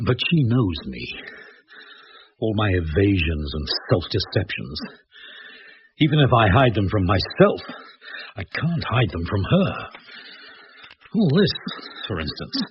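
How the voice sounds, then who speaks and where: A man's voice: on the low side, very animated, measured pace, pauses often. An actor playing an earnest, guilt-ridden British man in middle age, brooding and intense, in a radio drama. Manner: brooding, intense, self-questioning. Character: earnest, guilt-ridden, successful working-class-born Englishman. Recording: muffled old radio recording, faint hiss.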